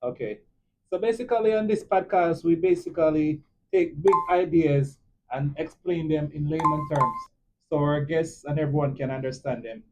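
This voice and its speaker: A young man with a Jamaican accent hosting a casual podcast chat, animated and quick to cut in. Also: on the high side, soft background music, low-quality recording. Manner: animated, often cuts in. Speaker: podcast host and nurse.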